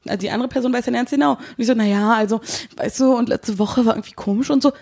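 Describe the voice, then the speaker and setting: A woman's voice: on the high side, rapid-fire, gravelly, a little mellow, slightly rough. A woman with a Berlin accent, co-hosting a casual podcast chat and speaking bluntly and outspokenly, swearing freely. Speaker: podcast co-host.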